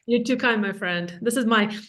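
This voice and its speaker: Latvian-accented woman, candid and energetic. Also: on the high side, moderate pace. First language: Russian or Latvian. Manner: candid, energetic, self-deprecating. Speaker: real estate agent, guest. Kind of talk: casual interview chat.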